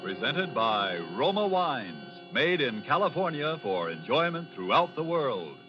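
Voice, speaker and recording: A man's voice: mid-range voice, sing-song, unhurried. A man reading a 1940s radio sponsor announcement in a polished, declamatory style. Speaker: commercial announcer. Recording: muffled old radio, faint music.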